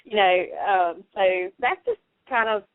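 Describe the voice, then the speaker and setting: A woman's voice: mid-range voice, sing-song, unhurried, no echo. A woman chatting casually and emphatically, with informal asides. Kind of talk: casual chat. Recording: narrow phone-like line.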